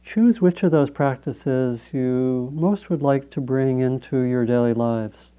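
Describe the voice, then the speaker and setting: Slow, mellow male meditation teacher. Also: mid-range voice, smooth. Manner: measured, gentle, with long pauses. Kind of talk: guided meditation instruction.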